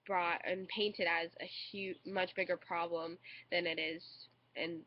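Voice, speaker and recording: A young woman, stuffed up with a cold, talks her way through a class speech, stumbling over fillers and restarts. Her voice sits a little low. The webcam audio sounds dull and lo-fi. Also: level tone, unhurried, slight background noise. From United States. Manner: hesitant, many fillers and restarts. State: congested from a cold. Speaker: student giving a class speech. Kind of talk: informal recorded speech. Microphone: webcam microphone.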